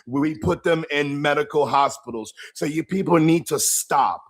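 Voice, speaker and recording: A man's voice: fairly high pitch, normal speed, no echo. A man arguing indignantly on a live panel. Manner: indignant, argumentative. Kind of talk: heated live panel debate.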